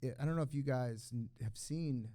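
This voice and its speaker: A man's voice: mid-range voice, moderate pace, mellow. A man chatting casually on a panel, giving his opinions emphatically and repeating himself for stress. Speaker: podcast host and collector. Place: live on stage at a collectors convention.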